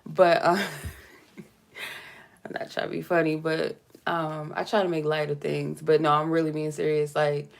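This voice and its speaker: A woman's voice: sits low, level tone, normal speed, creaky. A woman doing a casual, meandering tarot reading, chatty and lightly joking, sounding stuffy from a cold. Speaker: tarot reader. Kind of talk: casual spiritual reading to camera.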